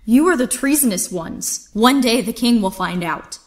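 An actress playing a defiant, idealistic young niece in an audio drama, earnest and indignant. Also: female voice, fairly high pitch, lively intonation, moderate pace, close to an everyday voice.

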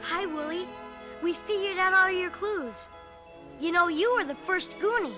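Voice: very high voice, unhurried. A performer playing an eager, adventurous young boy on a treasure hunt in an acted read-along storybook. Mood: earnest. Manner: eager, exclaiming. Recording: loud music under the voice.

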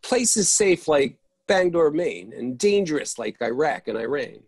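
A man's voice: on the high side, big pitch swings, a little slow, darkish tone, breathy edge, no echo, exaggerated voice. A man playing a wry storyteller, narrating a comic verse tale theatrically for a readers' theatre performance. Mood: wry, storytelling. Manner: theatrical, list-savoring rhymed delivery. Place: indoors at home on a video call.

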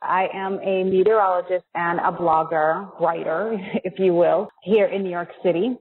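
A woman's voice: on the low side, fairly even intonation, moderate pace. An American woman in her forties chatting casually as a guest in a podcast interview. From United States. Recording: thin, phone-like line.

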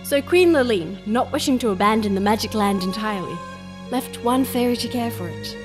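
An actress as a storybook narrator, telling a fairy tale in a measured, scripted way. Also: female voice, on the high side, animated, music in the background, lightly acted. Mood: storytelling.

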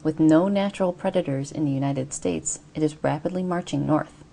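Very low, gravelly female narrator. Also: normal speed, warm tone, a little hiss or hum. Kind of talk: scripted narration.

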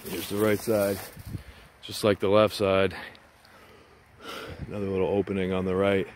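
Man vlogger, lowish voice, keen and chatty, handheld outdoor camera audio with clear rustling behind him. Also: moderate pace, a little hiss or hum. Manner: excited, pointing things out while scrambling. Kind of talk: casual handheld walking commentary. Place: outdoors in rocky woodland. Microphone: handheld action camera.

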